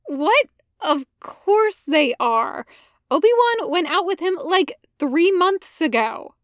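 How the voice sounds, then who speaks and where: A woman's voice: very high voice, expressive, slow, no echo, clean studio sound, pitched above the performer's own voice, full character voice. A woman voicing an exasperated, impulsive young man in an audio story, whiny and emphatic. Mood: panicked, insistent.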